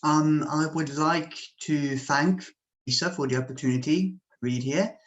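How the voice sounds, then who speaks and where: A man from Bosnia whose first language is Serbian, reading his poetry slowly and deliberately at an online open mic over a home computer microphone. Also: a little high, fairly even intonation, highs cut off. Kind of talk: slow measured poetry reading.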